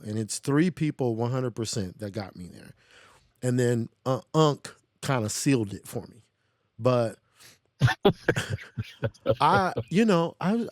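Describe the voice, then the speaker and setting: A man's voice: medium pitch, darkish tone, no room sound, studio quality. An American man telling his own story as a podcast guest, casual, candid and self-reflective.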